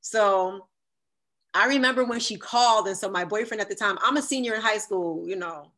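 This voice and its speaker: A woman in her fifties with a deep, sultry voice, telling a personal story as a podcast guest and acting out the quoted dialogue. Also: normal speed. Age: 50s. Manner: animated, acts out quoted dialogue. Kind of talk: storytelling in a conversational interview.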